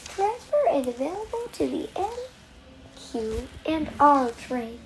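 A woman reading scripted transit announcements in clipped, formulaic, clearly separated phrases. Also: high-pitched, sing-song, takes it slowly. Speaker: train announcer. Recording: noisy recorded train announcements.

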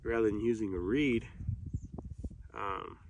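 A young man with a gravelly voice talks haltingly and casually to camera, reviewing gear. He is outdoors and a little way from the mic, with very loud noise over the recording. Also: on the low side, far from the mic. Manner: halting, unscripted delivery. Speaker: video host, hunter reviewing gear. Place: outdoors on an open grassy hillside. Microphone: camera a few metres away.

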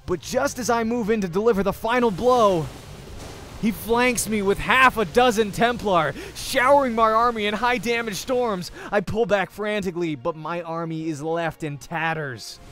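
A man's voice: high-pitched, moderate pace, bright, forceful tone. A young man hosting a let's play, reading a visual novel aloud in the first person with dramatic storytelling.

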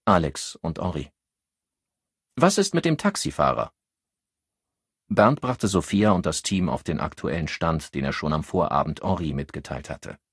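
Low, husky male audiobook narrator. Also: moderate pace, hardly any pauses, no echo, studio quality. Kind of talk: scripted crime novel narration.

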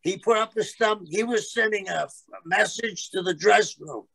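An older man, host of a chat show, speaking deliberately and admiringly with a gravelly voice on a video call. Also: sits high, fairly bright tone, no echo. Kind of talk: slow casual chat.

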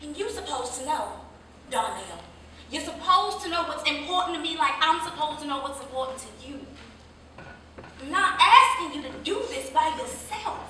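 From far off in a very echoey room comes a woman acting a young working-class mother in a stage drama. Her voice is bright and high, insistent and emphatic. She is practical and frustrated, loving yet wary. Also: exaggerated voice. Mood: earnest, reproachful. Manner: emphatic, insistent, vernacular delivery with pointed questions. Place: live staged reading, recorded from a distance indoors. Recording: echoey room, distant mic, faint noise.

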